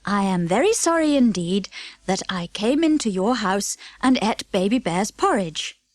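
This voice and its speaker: A woman voicing a gentle, motherly bear in a children's storybook, speaking with careful deliberation. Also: mid-range voice, lively intonation, unhurried, no room sound. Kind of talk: children's storybook character reading.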